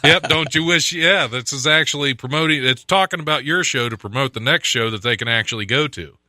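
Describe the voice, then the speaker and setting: A man's voice: mid-range voice, brisk, sharp tone, dry recording. A man hosting a radio talk show, chatting casually, reading odd news stories aloud and laughing often at his own jokes. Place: radio studio.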